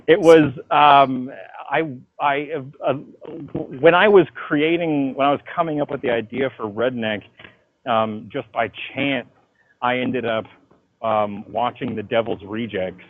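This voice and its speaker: A man's voice: medium pitch, a little slow, narrow, tinny audio. A man chatting casually in an interview as a writer, telling stories in a slangy way full of swearing. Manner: animated, profane, slangy storytelling. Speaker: comic book writer being interviewed. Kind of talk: casual interview chat about own work. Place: phone call interview. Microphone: phone call.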